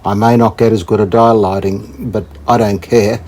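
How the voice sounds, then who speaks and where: A man giving casual hands-on commentary as a hobby restorer, thinking aloud in a tentative, halting way. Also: fairly low pitch, steady tone, a little mellow. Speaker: host, hobbyist radio restorer. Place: indoors, hobby workshop. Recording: clear background noise.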